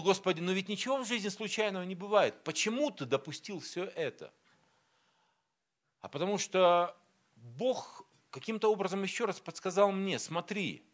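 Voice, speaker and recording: A middle-aged man from Siberia, a preacher telling a personal story in a sermon and acting out the dialogue. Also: high-pitched. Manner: storytelling, quoting dialogue, grows animated.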